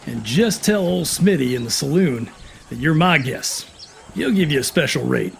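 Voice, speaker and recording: An actor playing a firm, fair and courteous Western sheriff, speaking in a measured, plain-spoken American drawl. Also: male voice, a little high, expressive, creaky, slight background noise. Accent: American, Southern or Western drawl. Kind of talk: Western audio drama acting.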